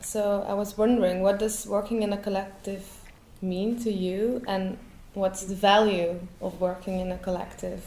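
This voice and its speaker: A woman with a mellow, warm tone posing careful, probing questions. She sits a little away from the microphone, and the room adds a clear echo. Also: medium pitch, little pitch movement, moderate pace. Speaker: interviewer. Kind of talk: conversational interview. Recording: echoey room, off-mic.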